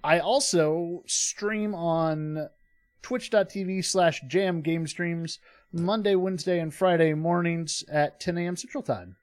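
A man from Texas with a slightly high voice, talking casually as a podcast guest. The sound is close and dry. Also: measured pace, no room sound. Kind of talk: casual chat.

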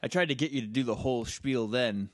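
A man's voice: medium pitch, quick pace, no room sound, clean studio sound. A man co-hosting a casual podcast, trading wry, teasing banter. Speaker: podcast co-host. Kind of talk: casual chat.

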